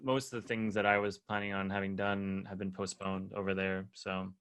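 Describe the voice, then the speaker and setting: Bright-toned young man, hesitant and halting. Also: low voice, flat delivery, a little hiss or hum. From Estonia. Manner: hesitant, many fillers and restarts. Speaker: artist in a collaboration. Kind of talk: casual video-call chat. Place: apartment in a quiet block.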